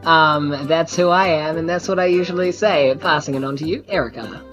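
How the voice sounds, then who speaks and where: A woman's voice: sits low, normal speed, soft background music. A woman chatting casually and playfully as a podcast player. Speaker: podcast cast member, player.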